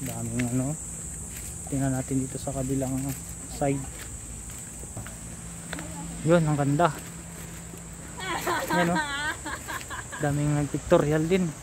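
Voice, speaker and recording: A man's voice: a little high, slow pace, long pauses, background noise. A Filipino man in his thirties with a Filipino accent, giving a casual walking tour vlog as he points things out to viewers. He speaks into a phone held at arm's length on an outdoor seaside park path. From Philippines. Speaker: vlogger. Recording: outdoor ambience with insects.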